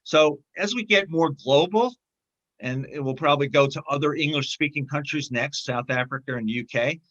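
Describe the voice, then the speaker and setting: Bright-voiced man, halting speech. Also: fairly high pitch, lo-fi sound. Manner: halting, many fillers and restarts. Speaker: film distributor, interview guest. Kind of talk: casual interview conversation.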